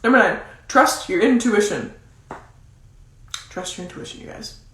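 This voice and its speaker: A woman speaks as a motivational coach in a casual, emphatic way. Her voice is nasal and stuffed up with a cold, at an average pitch. She repeats key phrases to drive them home, and the room adds a clear echo. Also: normal speed, a bit bright. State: congested with a cold. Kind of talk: motivational advice talk, casual. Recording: roomy, echoing sound.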